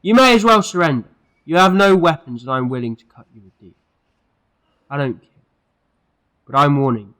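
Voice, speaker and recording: A man's voice: fairly high pitch, big pitch swings, moderate pace, pauses often, pushed and bright, low-quality recording. A man playing an eccentric, moralising alien time traveller in an audio drama, speaking earnestly and emphatically. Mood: warning, wry. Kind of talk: fan audio drama acting.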